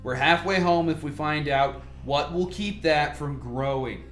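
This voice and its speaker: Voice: medium pitch, measured pace, faint music behind, close to an everyday voice. Actor playing an authoritative lead scientist, echoey room. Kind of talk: radio drama acting.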